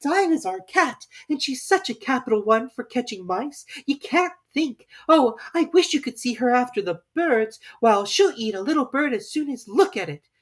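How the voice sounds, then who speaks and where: A woman's voice: a little high, lively intonation, normal speed, few pauses, pitched above the performer's own voice. A woman voicing an earnest, polite little girl with a wide-eyed, plaintive manner in a children's storybook reading. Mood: eager, proud.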